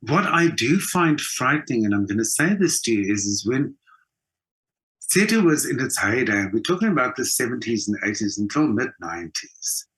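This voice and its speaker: A man's voice: medium pitch, sing-song, moderate pace, a bit bright, poor audio. A middle-aged South African man talking conversationally as an interview guest, emphatic and full of anecdote. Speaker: guest, theatre performer.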